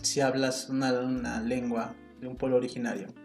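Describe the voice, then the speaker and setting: A man's voice: mid-range voice, flat delivery, normal speed, vocal fry, a little mellow. A man with a Mexican accent hosting a reflective solo podcast, talking in an earnest, opinionated way and thinking aloud with small restarts. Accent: Mexican Spanish. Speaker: podcast host. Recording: roomy sound with faint guitar music behind.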